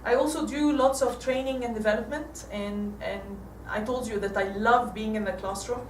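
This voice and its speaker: An Egyptian woman in her forties gives a church talk with slides, halting and restarting often. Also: on the high side, a little hiss or hum. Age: 40s. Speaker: guest speaker. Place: church hall. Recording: echoey hall from far off.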